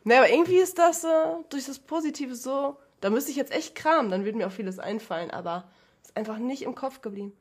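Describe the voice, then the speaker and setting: A woman's voice: a little high, fast, slightly rough. A young woman, a guest on a podcast, chatting casually and laughing often between her stories.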